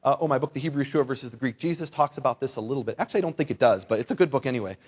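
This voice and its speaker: A man's voice: mid-range voice, expressive, racing, a little mellow, slight background noise. A man who is a native English speaker, giving an informal Bible lesson to a live audience in a conversational, wryly humorous manner with rhetorical questions. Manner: conversational, wry humour, rhetorical questions, asks listeners to repeat words. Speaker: Bible teacher and lecturer.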